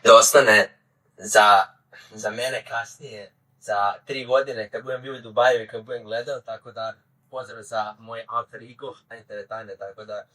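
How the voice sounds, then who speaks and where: A younger man, excited and celebratory, speaking in an old phone clip. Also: lowish voice, moderate pace, distant mic, low-quality recording.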